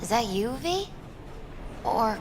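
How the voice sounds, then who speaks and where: An actress playing a gentle, caring tarot reader in a video game, reflective and philosophical in her delivery. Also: on the high side, sing-song, takes it slowly, background noise. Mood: gentle, welcoming.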